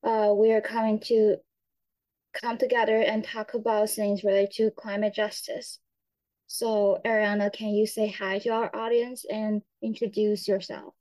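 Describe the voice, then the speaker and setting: A woman's voice: mid-range voice, flat delivery, a little slow, dark tone, rough recording. A young woman hosting a podcast, asking questions in a hesitant way full of fillers. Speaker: podcast host. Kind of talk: podcast interview.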